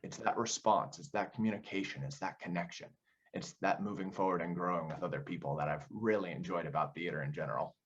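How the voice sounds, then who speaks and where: A man's voice: sits low, fast talker, slightly rough, muffled recording. A man giving thoughtful interview answers as a theater director, explaining things conversationally and building his points through repeated phrases.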